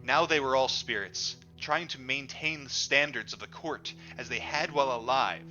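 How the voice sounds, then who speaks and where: A man reading a book aloud as a storyteller, in an animated way that acts out the dialogue. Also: mid-range voice, moderate pace. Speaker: audiobook reader. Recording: dull lo-fi audio, music underneath. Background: music.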